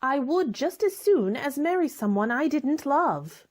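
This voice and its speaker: Radio drama heroine, female actor: spirited young lady, high-voiced, swooping intonation, courteous but unbending. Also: sing-song, unhurried, a little mellow. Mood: respectful, resolute. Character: spirited, polite but firm young gentlewoman. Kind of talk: radio play acting.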